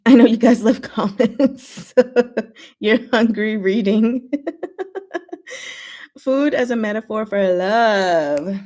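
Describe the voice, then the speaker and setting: A woman's voice: a little high, takes it slowly, pauses often, warm tone. Lady streamer just chatting w viewers, takes her time talking, giggly little asides. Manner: giggly, reacting aloud in short asides. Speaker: livestream host. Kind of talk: casual livestream chat with viewers.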